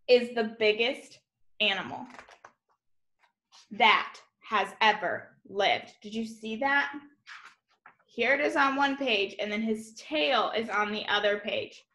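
Young lady teacher doing a read aloud for little kids, slow pace, really bright voice, expressive with lots of little explainy asides. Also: mid-range voice. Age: young adult. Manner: expressive, child-directed, exclaims and explains. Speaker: elementary school teacher. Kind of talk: read-aloud of a children's nonfiction picture book with informal asides. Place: room at home, indoors.

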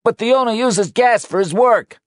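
A man's voice: a little high, sing-song, measured pace, dry recording, studio quality, pitched above the performer's own voice. A man voicing a panicked, swearing New police detective trapped under rubble. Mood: urgent shouting. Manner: frantic, swearing.

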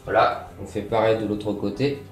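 A young man casually talks through a DIY sewing tutorial while working, relaxed and filling pauses with little interjections. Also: fairly low pitch. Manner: relaxed, talks while working, many fillers and "hop, voilà". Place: bare room with grey wall, indoors. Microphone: camera mic from a distance. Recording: roomy echo, distant mic, faint music.

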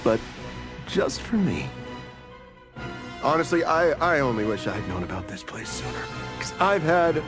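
An American actor playing a narcissistic, petulant superhero with menace in a video game, sarcastic and theatrical. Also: male voice, on the high side, sing-song, unhurried, long pauses, music in the background. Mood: gleeful, menacing. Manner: sarcastic, theatrical, self-pitying. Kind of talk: scripted video game ending monologue.

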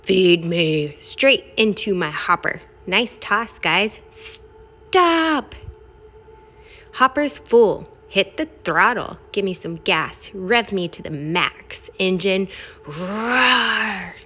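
A brash garbage truck from a picture book, acted out by a woman, proud of being smelly. It speaks in punchy, exclamatory phrases at a slow, deliberate pace, with a medium-pitched voice. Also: expressive, pushed and bright, husky, exaggerated voice. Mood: bossy, excited. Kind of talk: expressive children's picture-book read-aloud.

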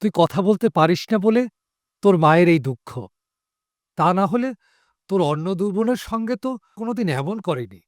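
A man playing a tender, protective village father in an audio drama, gently reproachful and consoling. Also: sits high, expressive, a little fast, long pauses, no room sound, clean studio sound. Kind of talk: Bengali audio drama acting.

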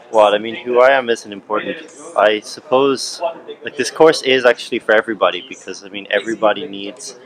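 A young man in his twenties speaks thoughtfully, hedging his words, to a close camera outdoors. His voice sits slightly low. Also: a bit bright, rough recording. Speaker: retreat participant. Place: outdoors by a building on a sunny day. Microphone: camera at close range.

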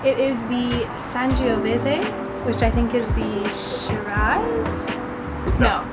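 Young woman, slightly high voice, casual travel vlogger, enthusiastic, chatty, handheld camera, loud music underneath. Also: lively intonation, slow, low-quality recording. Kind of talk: casual house-tour vlog. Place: holiday cottage, partly outdoors.

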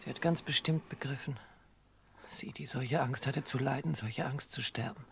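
An actress playing a guilt-ridden, bitter grown daughter in a radio play, with a low, husky, subdued voice. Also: female voice, moderate pace, a little hiss or hum. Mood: guilty, subdued. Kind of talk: German radio play acting.

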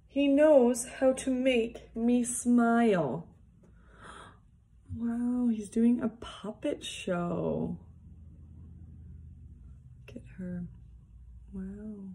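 A young woman reading a picture book aloud for small children, deliberately, with casual asides. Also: a little high, dark tone. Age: young adult. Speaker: early years worker reading a picture book. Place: small plain indoor room, seated at a desk. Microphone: phone or device propped at arm's length.

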